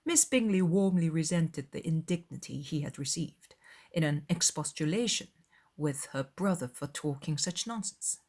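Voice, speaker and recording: A woman reading scripted literary narration as an audiobook narrator, in a formal, measured storytelling manner. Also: low-pitched, animated, a little mellow.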